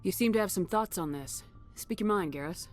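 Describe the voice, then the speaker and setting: An actress playing a steady, resolute human starship commander in a video game, speaking in a firm, measured way. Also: female voice, medium pitch, soft background music, close to an everyday voice. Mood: reassuring, firm.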